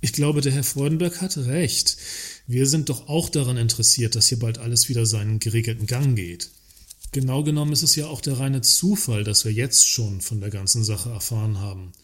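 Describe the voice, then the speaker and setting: An actor playing a works councillor who is politely needling yet placating, speaking in a satirical radio play. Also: male voice, mid-range voice, brisk, natural voice. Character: male works councillor, mildly mocking yet placating the boss. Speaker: actor in a satirical audio drama. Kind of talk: German radio play dialogue.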